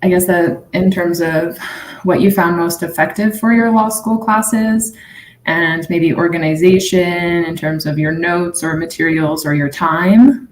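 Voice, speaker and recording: A woman's voice: fairly low pitch, fairly even intonation, a little slow, roomy sound, a little hiss or hum, poor audio. A woman attorney from New Mexico moderating a live panel in a friendly way, with frequent ums. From Navajo Nation, New Mexico.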